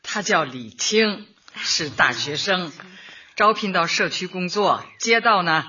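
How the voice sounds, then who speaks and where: A woman playing a warm older community Party secretary in a radio drama, chatty and homely, often chuckling and repeating herself. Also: on the low side, lively intonation, slow, lo-fi sound, slight background noise, exaggerated voice. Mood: cheerful, welcoming. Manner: chuckling, hesitant fillers, repeats words in homely colloquial talk.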